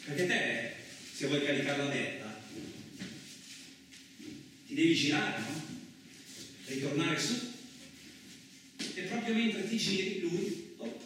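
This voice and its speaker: An actor playing a rough, rambling male truck driver in a colloquial radio theatre monologue, with an earthy, agitated manner. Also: a little high, bright, forceful tone. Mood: exasperated, then agitated, impatient. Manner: earthy, agitated, rhetorical tags, swearing. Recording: echoey distant room, faint noise.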